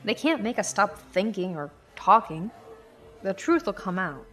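Woman as a slow-speaking weary young academic. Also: medium pitch, very animated, a bit bright, faint music behind. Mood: resolute. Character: dedicated, weary young female university teaching assistant. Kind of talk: audio drama acting.

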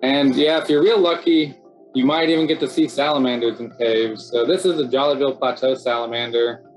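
A middle-aged man talks through a nature slideshow in a loose, easygoing way, dropping casual fillers between facts. His voice sits at a medium pitch and comes over a home video call. Faint music plays softly underneath. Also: a little fast. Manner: relaxed, chatty, many fillers like "so yeah" and "um". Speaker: city cave biologist presenting. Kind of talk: casual narrated slideshow talk.

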